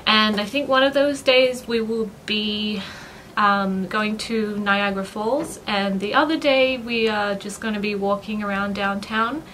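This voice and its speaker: A woman's voice: medium pitch, unhurried, a bit bright. A young woman vlogging to camera about her dog, chatty and full of fillers and light laughs. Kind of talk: casual vlog talk to camera. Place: living room floor, then a bedroom vanity. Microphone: camera across the room. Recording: roomy, distant, noisy.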